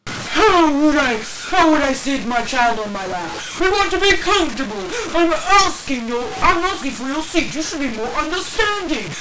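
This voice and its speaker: A woman's voice: sits high, expressive, moderate pace, very noisy, voice pushed up. A woman voicing a demanding, entitled young mother who shrieks and whines indignantly.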